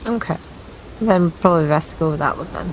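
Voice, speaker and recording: A woman's voice: low-pitched, fast talker, poor audio. A woman chatting casually as a player in a tabletop roleplaying game. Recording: clear background noise.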